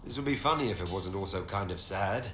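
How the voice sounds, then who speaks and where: Lively low-voiced fantasy leader. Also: male voice, brisk. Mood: dry. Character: weary, decisive male leader passing judgment. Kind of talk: video game character acting. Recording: roomy echo, faint noise.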